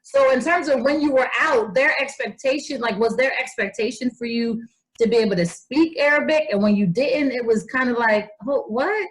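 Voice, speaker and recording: A woman's voice: fairly high pitch, normal speed, poor audio. A woman interviewing casually, feeling her way through questions and rephrasing as she goes.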